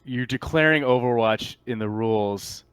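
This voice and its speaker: A man's voice: mid-range voice, moderate pace, a bit bright, smooth, no room sound. A man talking casually as game master during a tabletop roleplaying session. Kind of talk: casual gaming talk.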